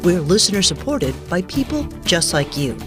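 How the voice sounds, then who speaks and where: A woman presenting scripted radio news in a clearly enunciated, announcer-like delivery. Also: on the low side, very animated, moderate pace, music in the background.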